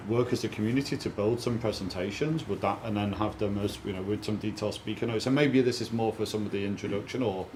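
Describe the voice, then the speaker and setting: A man facilitating a casual group discussion, informal and halting as he puts questions to others. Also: fairly low pitch, darkish tone, rough recording. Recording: faint room noise.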